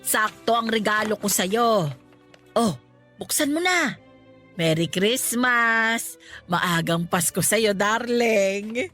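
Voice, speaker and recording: A woman's voice: mid-range voice, animated, slow, a bit bright. A woman playing a thrifty, affectionate wife and mother who banters wryly in a radio drama. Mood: bantering, delighted. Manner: wry, bantering. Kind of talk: Tagalog radio drama acting. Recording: music behind the voice.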